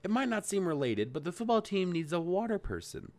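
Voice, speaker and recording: A man voicing a brisk practical farm girl. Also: high-pitched, sing-song, darkish tone, pitched above the performer's own voice. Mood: matter-of-fact. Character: practical hardworking young farm woman.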